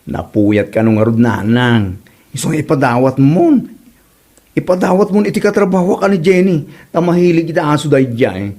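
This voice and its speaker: A man's voice: on the high side, expressive, normal speed, warm tone. A man with an Ilocano accent acting a son who pesters his mother in an amateur comedy drama. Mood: nagging, animated. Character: adult son pestering his mother about their barking dog.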